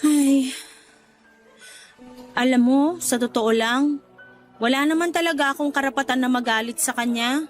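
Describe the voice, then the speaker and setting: A woman's voice: high-pitched, measured pace, pauses often, soft background music. A woman playing an emotional young working daughter with a Filipino accent in a radio drama. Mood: shy, regretful. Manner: emotional, expressive. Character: emotional, guilt-ridden young working daughter, newly independent.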